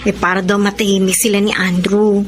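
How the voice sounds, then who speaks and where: A woman's voice: mid-range voice, flat delivery, fairly quick, background noise, close to an everyday voice. A woman playing a frank, concerned young friend who reproaches and advises in a Filipino radio drama. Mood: confiding.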